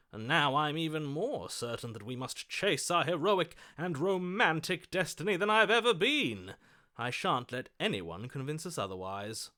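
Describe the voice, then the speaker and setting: Game role: chivalrous, smitten romantic, theatrical and ornate, highly animated intonation. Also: male voice, full character voice. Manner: florid, grandiose, ardent delivery. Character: lovestruck, theatrical romantic hero, grandiose and chivalrous. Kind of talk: video game voice acting.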